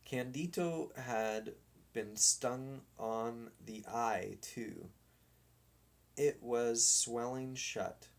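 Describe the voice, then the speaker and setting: Very slow-paced man reading a storybook. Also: fairly low pitch, creaky. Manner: deliberate, dramatic read-aloud. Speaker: storybook reader. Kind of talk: children's story narration.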